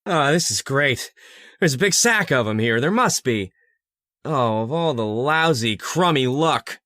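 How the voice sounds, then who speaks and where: An actor playing a moody, homesick man at a remote outpost, colloquial and expressive in old-time radio drama style. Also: mid-range voice, measured pace, no room sound, clean studio sound. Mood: excited. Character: moody, homesick, touchy male outpost partner.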